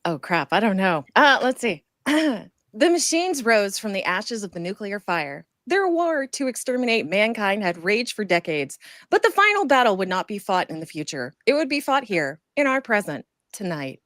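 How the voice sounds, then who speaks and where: Lively-pitched woman reading aloud. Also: moderate pace, dry recording, studio quality. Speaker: podcast co-host. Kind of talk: casual banter, then reading aloud.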